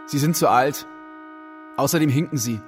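Actor as haughty, dismissive recruiting officer. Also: male voice, mid-range voice, moderate pace, soft background music, close to an everyday voice. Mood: dismissive, scornful. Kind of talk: radio drama acting.

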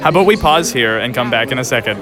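A man's voice: medium pitch, expressive, fast. A man doing casual on-site interviews as a host at a convention. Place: busy public space at a fan convention. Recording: busy convention crowd behind. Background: crowd chatter.

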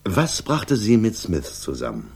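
Husky, deep-voiced sardonic police inspector. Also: male voice, huge pitch swings, unhurried, heavily acted. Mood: stern probing. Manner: dry, authoritative, probing questioning. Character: gruff, sardonic police inspector, hostile but controlled. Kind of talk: German radio crime drama acting.

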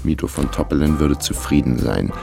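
An actor playing a sly, weary small-time private investigator in a radio crime drama, with a dry, laconic delivery. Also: male voice, rumbling low, fairly quick, vocal fry, music in the background. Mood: dry, matter-of-fact. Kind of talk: German radio crime drama, first-person narration and dialogue.

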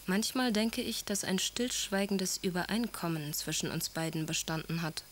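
Actress as a severe, dutiful estranged wife. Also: female voice, lowish voice, fairly even intonation, moderate pace, close to an everyday voice. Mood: wistful, confiding. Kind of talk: German radio drama, letters and confessions.

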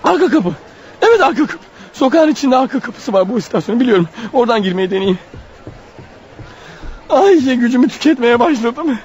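A man's voice: extremely high pitch, sing-song, fairly quick, long pauses, a little mellow. An actor playing an exhausted, wounded man on the run in a radio play, urgent and breathless as he mutters to himself. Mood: panicked, straining. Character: desperate wounded fugitive man, exhausted, racing to his wife. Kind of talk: radio theatre acting, inner monologue. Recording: muffled radio drama recording. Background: music underneath.

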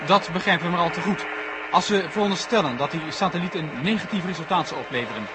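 An actor playing a formal television reporter giving live commentary in a vintage radio play. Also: male voice, sits high, lively intonation, quick pace, loud music behind the voice. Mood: polite, probing. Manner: formal, orderly announcing. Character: television reporter at a space control centre, composed, excited at liftoff. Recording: noisy vintage 1970 radio play recording.

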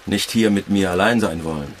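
Actor as a very deep-voiced, curt gamekeeper. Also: male voice, brisk, slight background noise, lightly acted. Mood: guarded, awkward. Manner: terse, reserved. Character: curt, guarded English gamekeeper, sullenly deferential. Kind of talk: radio drama acting.

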